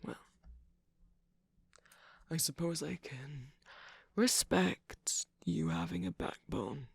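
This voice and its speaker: A creaky, guarded villain jester played by an ASMR performer for an intimate roleplay. He drawls slowly with a teasing, sardonic edge, often slipping into a breathy whisper. The sound is close and very dry. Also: mid-range voice, sing-song, dry recording, heavily acted. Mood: sardonic, grudging. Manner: sardonic, teasing, drawn-out phrasing. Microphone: close, dry microphone.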